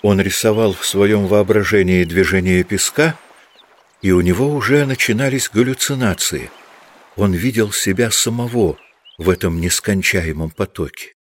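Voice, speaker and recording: A man with a deep, low voice narrates a literary audio drama. His delivery is measured and scripted, that of a storyteller setting out each scene.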